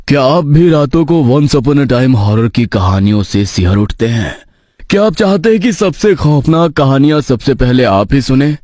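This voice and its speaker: A man giving dramatic scripted narration of a horror story as its narrator. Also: medium pitch. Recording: loud background music under the narration.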